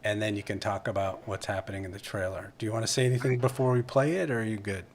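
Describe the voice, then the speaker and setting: A man with a fairly low voice hosts a casual live interview. He talks conversationally, as a podcast host does with guests. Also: fairly even intonation, quick pace.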